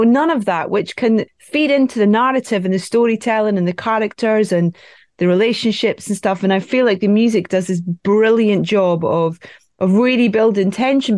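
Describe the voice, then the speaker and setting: Woman podcast host, mid-pitched, rambling and chatty, dry close sound. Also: darkish tone, dry recording. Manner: long, wandering, rambling questions. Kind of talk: casual interview chat.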